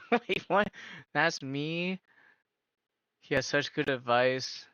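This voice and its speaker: A man streaming a casual reaction, his voice bright and pushed forward. He keeps asking why in disbelief. The sound is dry and a bit dull, like a modest home setup. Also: a little high, animated, smooth, dry recording. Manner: incredulous, asks rapid repeated questions.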